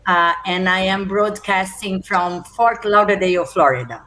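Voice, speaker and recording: A woman's voice: medium pitch, measured pace, sharp tone, slight background noise. A woman from Brazil, a marketing executive who is a guest, answering in a polite, enthusiastic way over a video call. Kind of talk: interview answer.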